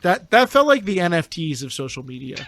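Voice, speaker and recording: A man's voice: on the high side, very animated, brisk, a bit bright, slight background noise. A man chatting casually as a podcast guest, speaking in an opinionated, emphatic way. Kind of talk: casual chat.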